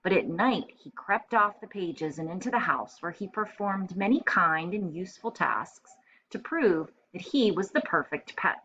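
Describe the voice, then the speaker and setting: A woman with a slightly low voice reads a storybook aloud to young children as their teacher. She is expressive and keeps her wording simple for first graders. The recording is a bit dull, with faint noise behind her. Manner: expressive, pausing to explain words simply.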